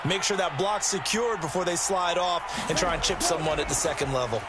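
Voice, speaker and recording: A man's voice: on the high side, fairly quick, a loud crowd, very noisy. An American man giving conversational, explanatory analysis as a football color commentator.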